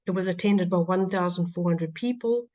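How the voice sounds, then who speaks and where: A woman with a South African accent giving a conference talk as a patient advocate, pausing often with fillers and restarts. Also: on the low side, dark tone. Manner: hesitant, many fillers and restarts. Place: lecture hall. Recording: dull lo-fi sound.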